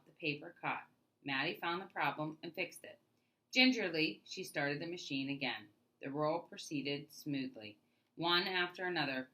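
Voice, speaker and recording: A woman's voice: low-pitched, bright, forceful tone, poor audio. A woman reading a children's story aloud as narrator, leaning into the start of phrases and trailing off at their ends.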